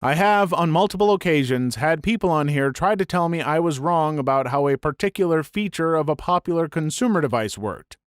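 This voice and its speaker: A man narrates online anecdotes in a brisk, even reading voice with the odd dry aside. His voice sits a bit high for a man. The sound is close and completely dry. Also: no room sound. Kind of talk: scripted story narration.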